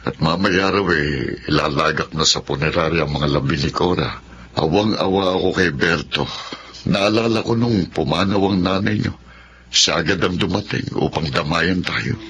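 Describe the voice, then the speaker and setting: Somber middle-aged father, deep low voice, protective, radio drama, faint noise. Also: moderate pace, fairly bright tone. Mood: sorrowful. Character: somber, protective older widowed father of twin daughters. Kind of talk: Tagalog radio drama acting.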